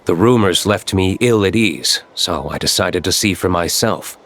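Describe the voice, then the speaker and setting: A man's voice: low-pitched, normal speed, a bit bright, slight background noise. A man voicing a cool, curt, reserved young nobleman in a game cutscene. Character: cool aloof male nobleman, winery owner.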